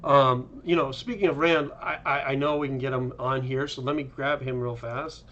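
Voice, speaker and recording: A man's voice: medium pitch, steady tone, normal speed, fairly bright tone. A man hosting a casual interview, speaking in an upbeat, informal way. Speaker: interviewer, host. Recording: slightly dull, lo-fi mic.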